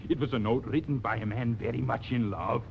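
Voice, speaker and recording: Actor as pompous, theatrical radio playwright. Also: male voice, medium pitch, fairly quick, creaky, a little hiss or hum, close to an everyday voice. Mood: smug, confiding. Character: self-important, oblivious male playwright, fussy and gushing. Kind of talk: 1940s radio comedy acting. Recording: muffled 1940s radio broadcast.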